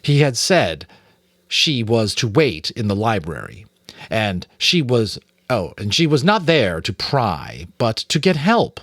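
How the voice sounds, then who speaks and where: A man's voice: on the low side, big pitch swings, slow, a bit bright, no echo, clean studio sound. A man with an American accent, reading a story aloud in dramatic audiobook narration.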